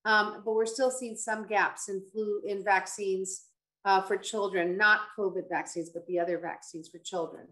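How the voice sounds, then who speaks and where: A woman's voice: medium pitch, fairly even intonation, normal speed, fairly bright tone, rough recording. Conversational woman doctor presenting slides. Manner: explanatory, conversational. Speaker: pediatric infectious disease physician, panelist. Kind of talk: informative slide presentation at an online town hall. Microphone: video call.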